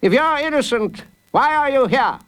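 A man voicing a blustering, self-important old judge in a storybook, gruff and stammering with indignation. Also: very high voice, lively intonation, normal speed, fairly bright tone, pitched above the performer's own voice. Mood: impatient. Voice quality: growling, gruff.

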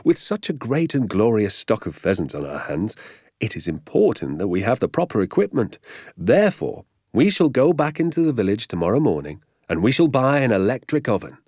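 A man's voice: fairly low pitch, very animated, a little fast, a little mellow, slightly rough, no echo, clean studio sound, full character voice. A man voicing an exuberant, boastful father in a storybook, grandly emphatic and stretching his words with relish.

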